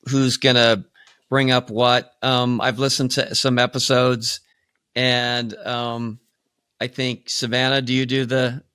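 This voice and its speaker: Bright-voiced man interviewing, close and dry. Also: mid-range voice, clean tone, dry recording. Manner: speaks in natural, unaltered voice when presenting feminine. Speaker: podcast interviewer. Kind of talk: conversational interview.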